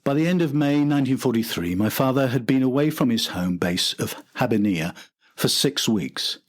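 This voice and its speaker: An older man with a slightly husky voice, reading scripted documentary narration as a podcast host. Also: medium pitch, expressive, normal speed.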